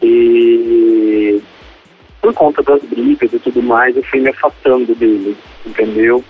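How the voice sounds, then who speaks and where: A man's voice: mid-range voice, steady tone, takes it slowly. Guy from inland sao calling a radio show, phone audio, faint music behind. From Ribeirão Preto, Brazil. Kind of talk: casual phone-in storytelling. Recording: muffled, husky phone line, faint music.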